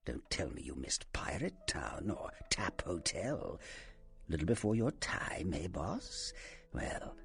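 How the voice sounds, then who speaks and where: A man's voice: low voice, gravelly, exaggerated voice. A man playing a sly, taunting Nosferatu vampire and faded film star in a video game, theatrical and teasing, often dropping into a menacing whisper. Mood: hammy, wry. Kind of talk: video game character acting.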